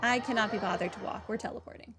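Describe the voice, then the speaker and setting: A woman giving casual, wry, deadpan commentary while playing a video game. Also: fairly high pitch, fast, music in the background. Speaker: gaming playthrough commentator.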